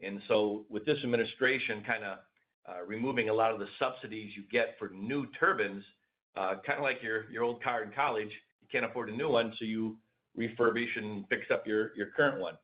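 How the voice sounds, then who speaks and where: A man's voice: lowish voice, fairly quick. A man answering questions as a company executive, casually, with repeated words and everyday comparisons. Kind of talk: answering questions on a conference call. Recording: dull lo-fi call audio.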